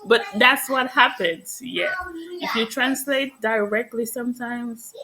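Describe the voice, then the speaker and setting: A woman's voice: on the high side, fairly even intonation, unhurried, crowd noise, poor audio. A woman whose first language is Spanish, tutoring English in casual conversation and patiently correcting mistakes. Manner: friendly, explains patiently, laughs often.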